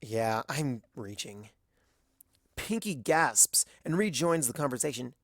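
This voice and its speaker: A narrator giving an expressive first-person reading of a fan fiction story. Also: mid-range voice, takes it slowly, no room sound, studio quality.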